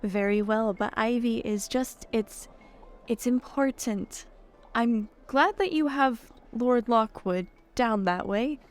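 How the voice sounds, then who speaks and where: A woman playing a high-voiced, disapproving society lady. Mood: concerned, disapproving. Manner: formal, halting, politely probing. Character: concerned, disapproving aristocratic lady, family acquaintance. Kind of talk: improvised tabletop roleplay.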